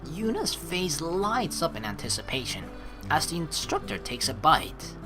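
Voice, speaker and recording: Female let's play host, low voice, lively intonation, chatty reading and reacting over game music. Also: unhurried. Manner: playful, chatty, reacts to the story. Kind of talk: game playthrough, reading narration aloud with casual commentary.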